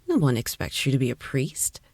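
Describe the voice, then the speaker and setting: Low, stately warrior angel. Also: female voice, huge pitch swings, gravelly, darkish tone, husky, no echo, clean studio sound, heavily acted. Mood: gentle, earnest. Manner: measured, formal. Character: stately female warrior angel, patient and knowing. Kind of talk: audio drama dialogue.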